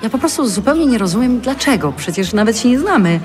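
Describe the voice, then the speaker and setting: A woman's voice: fairly high pitch, sing-song, a little mellow, faint music behind. Hesitant, modest woman. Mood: grateful, awkward. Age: middle-aged. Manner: hesitant, halting. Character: unsure, modest, grateful mother in her forties. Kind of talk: naturalistic audio drama acting.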